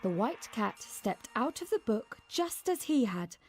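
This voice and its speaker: Expressive woman narrator, slow and higher-pitched. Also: soft background music. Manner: expressive, acts out dialogue. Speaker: storyteller. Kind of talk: scripted children's story narration.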